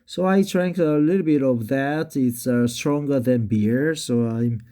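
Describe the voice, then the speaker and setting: A man with a Korean accent talks and reads aloud in a slow, halting way, full of fillers. He is slightly tipsy, practicing English on his own vlog. His pace is unhurried throughout. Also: medium pitch, mellow. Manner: halting, many drawn-out fillers. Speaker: English learner vlogging. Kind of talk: casual talk and reading aloud.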